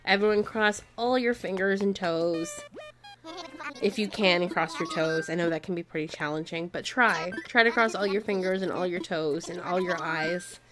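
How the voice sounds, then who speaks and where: A woman streaming a game, chatting casually, playfully dramatic and pleading, her voice going hoarse. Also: lowish voice, normal speed. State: losing her voice. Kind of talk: casual gameplay commentary. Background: game music.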